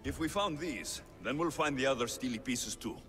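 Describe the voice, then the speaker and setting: An actor playing a stoic, wry ancient Greek mercenary with a curt, sceptical way of talking in a video game. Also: male voice, medium pitch, expressive, normal speed, a bit bright, big-room sound, faint music behind, lightly acted. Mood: questioning. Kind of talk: video game cutscene acting.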